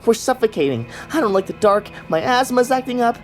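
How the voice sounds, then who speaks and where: Woman acting an anxious, high-voiced kid sidekick. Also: expressive, fairly quick, soft background music, lightly acted. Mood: panicky, whiny. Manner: fretful, complaining. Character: anxious, whiny middle-school kid sidekick. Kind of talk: audio drama acting.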